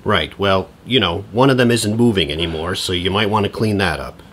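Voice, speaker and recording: An actor playing a harried, sarcastic divorced father in his forties, halting and exasperated, in a comedic audio drama. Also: low-pitched, a bit bright, slight background noise. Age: middle-aged.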